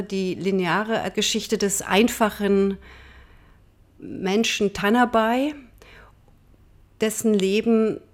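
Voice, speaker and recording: A woman from East Germany, a radio drama director, talking reflectively in an interview. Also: mid-range voice.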